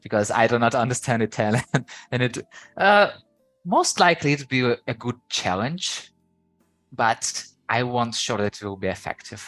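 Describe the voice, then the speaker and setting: A man's voice: medium pitch, lively intonation, normal speed, fairly bright tone, no room sound, faint music behind, poor audio. A young man with a Ukrainian accent, a podcast guest chatting casually and rambling a little, correcting himself and laughing easily. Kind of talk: casual interview chat.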